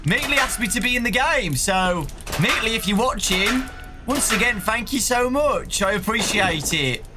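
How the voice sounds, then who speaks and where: A man in his twenties giving an excited, casual reaction as a gaming YouTuber. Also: sits high, normal speed, over loud music. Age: 20s. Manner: excited, animated. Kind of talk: casual let's play reaction. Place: small bedroom-style room indoors.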